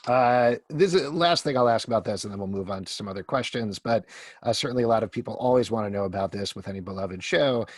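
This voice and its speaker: A man's voice: on the low side, fast, no room sound, clean studio sound. A man moderating a casual fan Q&A, reading out questions and passing them to panelists.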